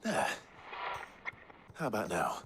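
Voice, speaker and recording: An actor playing a stoic, brooding fantasy hero in a video game, terse and earnest. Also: male voice, fairly low pitch, wildly swooping pitch, slow, fairly bright tone, a little hiss or hum, exaggerated voice. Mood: weary, patient. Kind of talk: cinematic video game acting. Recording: music behind the voice.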